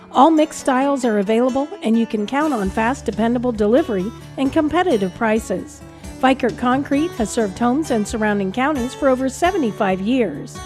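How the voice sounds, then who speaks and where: A woman's voice: on the high side, darkish tone. A woman with an American accent reading a scripted radio advertisement as a commercial announcer. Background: background music.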